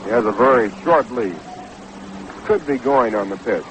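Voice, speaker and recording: An American man calling baseball play-by-play on the radio in a measured, descriptive way. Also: medium pitch, lively intonation, a bit bright. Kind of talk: live radio sports commentary. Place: ballpark broadcast booth. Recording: noisy 1960s AM radio broadcast.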